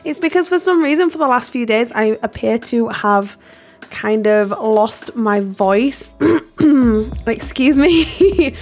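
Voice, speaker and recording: A hoarse woman, losing her voice, chatting in a rambling, apologetic way over her building video and laughing often. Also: a little high, animated, slow. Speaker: game builder and video host. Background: soft background music.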